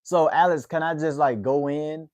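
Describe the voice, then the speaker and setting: A man's voice: fairly high pitch, fairly even intonation, moderate pace, darkish tone, no echo, clean studio sound. A man in his twenties chatting casually with viewers on a livestream, in a laid-back way, tacking "man" onto the ends of his phrases. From United States. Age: 20s. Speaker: livestream host.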